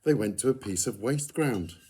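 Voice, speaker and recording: A man reading a picture book aloud in an expressive storytelling style. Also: medium pitch, moderate pace, slightly rough. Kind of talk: expressive children's story narration.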